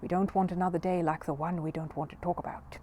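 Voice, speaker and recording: A kindly older man who keeps an antique shop, acted out by a woman reading a storybook. He is gentle and modest, with a soft, dark tone over faint background noise. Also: fairly low pitch, little pitch movement, quick pace, lightly acted.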